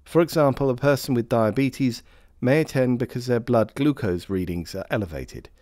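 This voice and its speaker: A man reading scripted instructional narration for a health training film in a formal, measured way. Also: medium pitch, darkish tone, no echo, clean studio sound.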